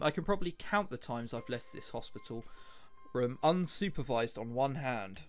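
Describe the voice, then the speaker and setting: A man reading a visual novel aloud as a let's player, adding wry, chatty asides and sometimes stumbling over his words. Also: medium pitch. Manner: wry, chatty asides between read lines. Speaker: let's play host. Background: background music.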